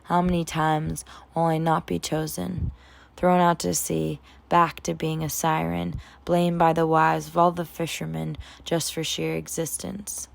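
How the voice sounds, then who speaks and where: A young woman poet reads her spoken word piece in a low voice that crackles with vocal fry. Her delivery is measured and dramatic, yet the melody stays very flat from phrase to phrase. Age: 20s. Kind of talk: spoken word poetry reading.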